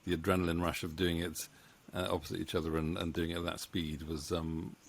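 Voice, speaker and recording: A middle-aged British man with a low voice talks in a casual interview. He is hesitant and self-deprecating, speaking close to the mic with faint noise behind him. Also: little pitch movement, a little fast, creaky. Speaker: audio drama writer and director. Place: home room with bookshelves. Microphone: studio mic on boom arm, close.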